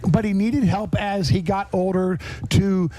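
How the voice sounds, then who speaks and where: A man's voice: high-pitched, fairly even intonation, a little slow, dark tone. A middle-aged man hosting a podcast, chatting casually in a rambling, self-interrupting way. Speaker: podcast host.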